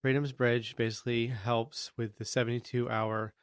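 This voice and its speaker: A male podcast host with a mid-range voice asks questions in a relaxed, affirming way. His sound is dry and close to the mic. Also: medium pitch, normal speed, clear, resonant tone, no room sound. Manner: affirming, casual, brief backchannels. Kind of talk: podcast interview.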